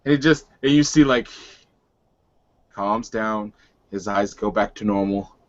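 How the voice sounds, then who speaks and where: A man's voice: on the low side, measured pace, highs cut off. A man improvising narration as a player in a tabletop roleplaying game.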